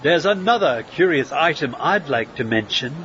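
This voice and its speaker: A man playing a jaded, condescending judge in an audio comedy, with a drawn-out, mock-polite, disdainful manner. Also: fairly high pitch, lively intonation, over music, natural voice. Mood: sardonic. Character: jaded, condescending male science fair judge. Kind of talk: comedic audio drama acting. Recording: dull, noisy ambience.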